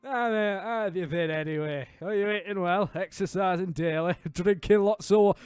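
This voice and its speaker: A man's voice: high voice, moderate pace, darkish tone, smooth, no room sound, soft background music, natural voice. A man voicing a fussing, affectionate middle-aged Scottish mother in a visual novel, chiding and doting. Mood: fond fussing.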